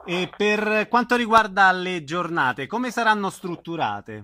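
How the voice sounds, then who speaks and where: A man's voice: high voice, lively intonation, measured pace, pushed and bright. A man hosting a radio interview, putting conversational questions to a guest. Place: radio broadcast.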